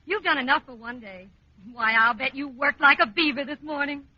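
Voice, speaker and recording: A woman's voice: sits high, normal speed, dry recording, close to an everyday voice. An actress playing a sweet, solicitous middle-aged wife and mother in a vintage radio sitcom, fluttery and polite. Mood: cheerful. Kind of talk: 1940s-50s radio sitcom acting. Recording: muffled old radio recording.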